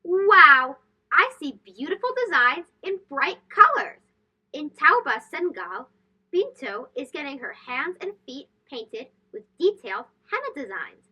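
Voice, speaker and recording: A woman reading a picture book aloud to young children in an expressive, sing-song, emphatic way. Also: high-pitched, unhurried, rough recording. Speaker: children's read-aloud host. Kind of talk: animated storybook read-aloud for young children.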